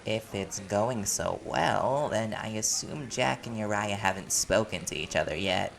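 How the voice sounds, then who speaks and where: Man playing a low, creaky-voiced flirty reporter. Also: expressive, a little slow, crowd noise. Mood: playful, flirty. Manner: playful, teasing. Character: easygoing, flirtatious reporter, smooth sweet talker. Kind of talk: audio roleplay acting.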